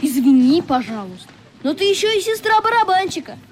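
An actress playing a bossy, warm-hearted schoolboy in a radio play, arguing sarcastically in colloquial speech. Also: female voice, very high-pitched, sing-song, brisk, a little hiss or hum. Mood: pleading.

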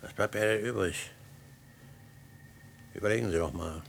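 A man's voice: sits low, normal speed, gravelly, slight background noise. An actor playing a desperate, menacing male academic in a German radio play, speaking formally and insistently. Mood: bitter, resolute. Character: desperate, menacing male university academic holding a gunpoint standoff.

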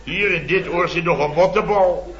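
Guy doing a pompous blustery dutch boss ranting in an old radio comedy, highish voice, dull echoey sound. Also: moderate pace, a crowd in the background, lightly acted. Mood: exasperated, amused. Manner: indignant, rambling storytelling with tag words. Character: blustering, self-important male building-firm boss. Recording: dull, echoey vintage radio recording with audience.

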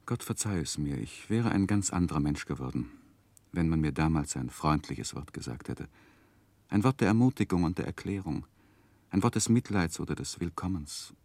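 An actor playing a grown man who looks back on his childhood, narrating a 1960s German radio drama in a measured, reflective way. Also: low-pitched, a little mellow, no echo. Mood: regretful.

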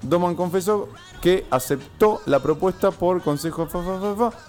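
Higher-pitched Argentine man chatting over music. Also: normal speed, darkish tone. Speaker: radio show co-host. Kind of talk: casual chat. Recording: music playing behind the voice.

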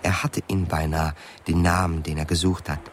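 Deep-voiced male radio drama narrator. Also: little pitch movement, moderate pace, faint music behind.

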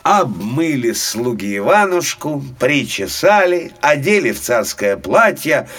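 A man narrating a folk tale for small children as an expressive, dramatic storyteller. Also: mid-range voice, unhurried. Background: faint music.

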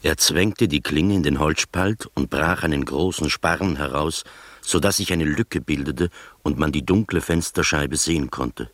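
Doubting gentleman telling an uncanny tale, radio play, really deep voice, measured storytelling, no room sound. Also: dry recording. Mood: measured, suspenseful. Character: skeptical gentleman narrator recounting an uncanny adventure. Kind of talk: scripted radio drama narration.

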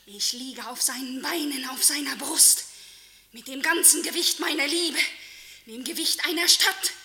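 A woman's voice: sits high, unhurried, sharp tone, heavily acted. An actress playing a possessive, smothering young wife in a theatrical radio play, speaking with intense insistence. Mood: possessive, insistent.

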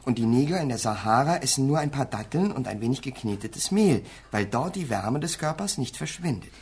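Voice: mid-range voice, expressive, fairly quick, a little mellow, slightly rough. A performer playing an eager young son and pupil in a German radio play, explaining things with some hesitation.

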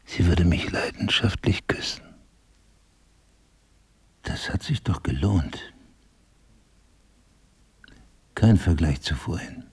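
An actor playing a sardonic middle-aged husband, a writer, in a German radio drama, probing with deliberate irony. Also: male voice, sits low, husky, heavily acted. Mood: hushed, wry.

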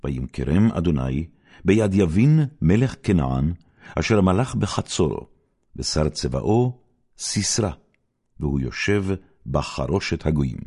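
Man, husky rough-edged voice, strongly varied intonation, formal dramatic Bible narration, measured declamation. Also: extremely low pitch, big pitch swings, mellow. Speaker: narrator reading scripture. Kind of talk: solemn dramatic Bible audiobook reading.

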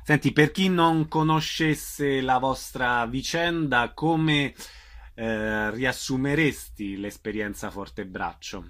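A man's voice: mid-range voice, slow pace, fairly bright tone. A man hosting an interview, asking questions and giving information in a conversational style.

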